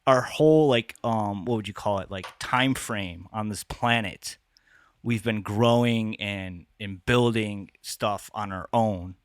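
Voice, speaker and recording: A man's voice: lowish voice, slow, smooth, no echo. A man co-hosting a podcast in casual chat, rambling with many restarts and curses. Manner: rambling, lots of restarts and swearing. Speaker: podcast co-host.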